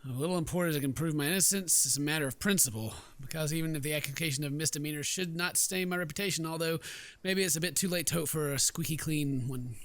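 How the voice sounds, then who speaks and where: A man's voice: fairly high pitch, fast talker, a bit bright, no echo. A man hosting a let's play, giving playful, exclamatory commentary and dramatic read-alouds, close to the mic. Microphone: close microphone.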